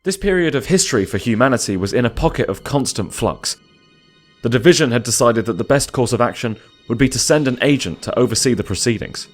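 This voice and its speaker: A man's voice: mid-range voice, animated, a little fast. Male story narrator over music. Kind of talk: scripted dramatic story narration.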